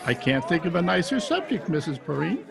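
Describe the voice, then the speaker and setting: A man's voice: fairly high pitch, very animated, brisk, vocal fry, crowd noise, full character voice. A man playing a tough, sardonic private detective in old-time radio drama style, recorded at home over a video call. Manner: hard-boiled, sardonic. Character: tough, wisecracking male private detective, impatient with sentiment.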